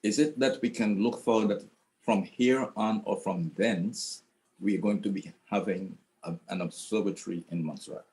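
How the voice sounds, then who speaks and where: A man asking questions as a journalist at a press conference, deliberate and rambling, often restarting his sentences. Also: lowish voice, warm tone, low-quality recording.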